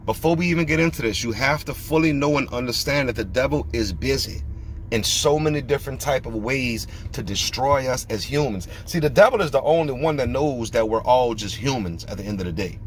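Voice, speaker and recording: Man, podcast host, emphatic preaching tone, rhetorical questions, mid-pitched voice, faint noise behind. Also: fairly quick, poor audio.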